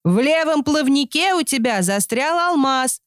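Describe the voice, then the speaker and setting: Woman playing a foolish idle man, high-pitched. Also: lively intonation, a little slow, dry recording, studio quality. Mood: self-assured. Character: lazy, foolish, boastful idle man, naively hopeful.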